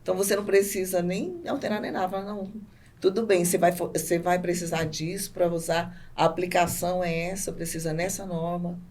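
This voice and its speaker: Lower-voiced Brazilian woman as podcast guest. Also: fairly even intonation, a little fast, darkish tone. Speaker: podcast guest, business owner. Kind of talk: casual conversational interview.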